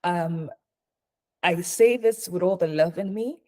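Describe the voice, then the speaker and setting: Middle-aged woman raised in Ghana, mellow and warm tone, earnest consultant speaking in a live session. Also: lowish voice, slow. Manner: earnest, repeats points for emphasis. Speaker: hospitality consultant speaking as a guest. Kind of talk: spoken talk in a live online session.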